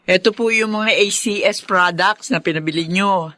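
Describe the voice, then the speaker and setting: A woman's voice: mid-range voice, normal speed, pushed and bright, no room sound, lightly acted. A woman playing a caring, worried middle-aged Filipino mother in a radio drama. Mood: friendly. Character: caring, worried mother of grown children, poor.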